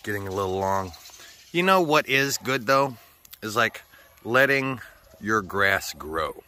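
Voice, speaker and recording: A man's voice: fairly low pitch, lively intonation, takes it slowly, sharp tone, slight background noise. An American man with a slightly sore throat, rambling casually and swearing often as he chats for a vlog. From United States. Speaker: vlogger. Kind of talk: casual chat.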